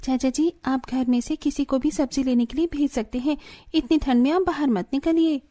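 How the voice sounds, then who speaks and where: A woman voicing expressive village women in a cartoon family story, with emphatic delivery. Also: high voice, moderate pace, dry recording, studio quality, lightly acted. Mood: cheerful, caring. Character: village women, spirited young and scolding daughters-in-law and mothers-in-law. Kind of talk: Hindi cartoon story acting.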